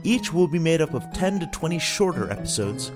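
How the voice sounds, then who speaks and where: A man's voice: on the high side, very animated, a little fast, over music. A man, the show's executive producer, giving an upbeat, welcoming introduction. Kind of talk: show introduction.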